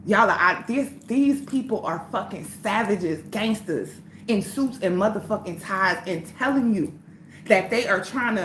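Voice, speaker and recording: A woman's voice: mid-range voice, roomy sound, slight background noise, low-quality recording. A woman hosting a livestream, ranting in a heated, profane, preachy way. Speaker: livestream host.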